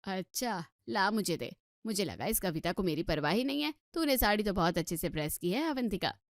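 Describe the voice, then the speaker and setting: A woman's voice: mid-range voice, animated, brisk, no echo, studio quality, lightly acted. A woman voicing a touchy but easily appeased older mother-in-law, speaking curtly in a family story. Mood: grudgingly pleased.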